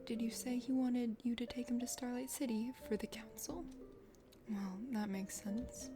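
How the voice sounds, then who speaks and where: A woman voicing a chatty, condescending dragon sister from a forest kingdom in a video game, speaking formally in a soft ASMR whisper. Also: fairly high pitch, close to an everyday voice. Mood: condescending, composed. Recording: music behind the voice.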